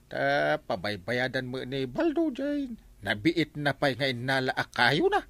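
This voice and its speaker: A man's voice: medium pitch, wildly swooping pitch, unhurried, a bit bright, no room sound, full character voice. A man playing a whining, theatrical village captain in an Ilocano radio comedy drama. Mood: explaining. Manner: wailing, exclamatory, theatrical. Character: whining village captain, comic complainer fond of liquor.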